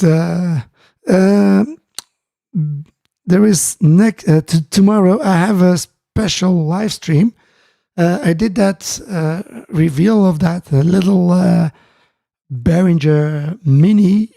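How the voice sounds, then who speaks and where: Gravelly, mellow man. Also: high-pitched, no echo. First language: Dutch. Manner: halting, many fillers and restarts, greets chat viewers. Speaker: live-stream host. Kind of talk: casual live-stream chat. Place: home music studio.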